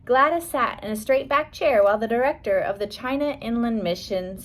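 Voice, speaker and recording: A woman reading a scripted biography aloud as an audiobook, with careful storytelling delivery. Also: on the high side. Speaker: audiobook reader.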